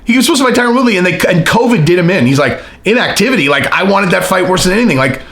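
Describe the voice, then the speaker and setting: A man with an American accent hosting a casual fight-commentary rant, emphatic and animated, repeating his points and swearing. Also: high-pitched, racing. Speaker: MMA commentary channel host.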